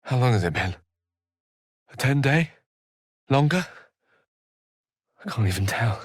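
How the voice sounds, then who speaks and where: A man's voice: medium pitch, animated, measured pace, no room sound. A man voicing an eloquent, self-assured wizard in a fantasy role-playing game, speaking articulately in ornate, theatrical phrases with dry wit. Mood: anxious. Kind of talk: theatrical game dialogue in short separate lines.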